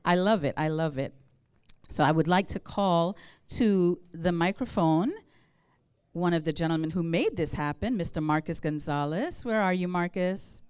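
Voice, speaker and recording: A woman's voice: lowish voice, normal speed, darkish tone, smooth, no echo. A Guyanese woman emceeing a live event, upbeat and coaxing the audience to join in. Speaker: master of ceremonies. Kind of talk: lively event hosting. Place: large event room.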